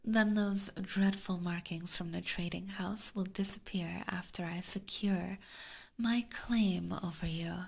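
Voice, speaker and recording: A woman voicing a possessive, aristocratic vampire catgirl in a slow, seductive, teasing whisper for an ASMR roleplay, close on a binaural microphone. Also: fairly low pitch, fairly even intonation, heavily acted. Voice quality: breathy whisper. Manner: slow, formal, coaxing.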